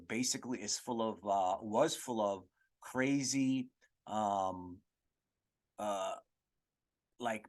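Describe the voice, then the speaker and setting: Rambling man hosting a casual comedic podcast. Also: mid-range voice. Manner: rambling, halting, crude slang. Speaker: podcast host.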